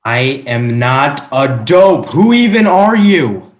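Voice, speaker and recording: A man's voice: medium pitch, sing-song, slow pace, reverberant. A man playing a cowardly, self-important rich young husband in a comic staged reading, hesitant and stammering. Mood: indignant.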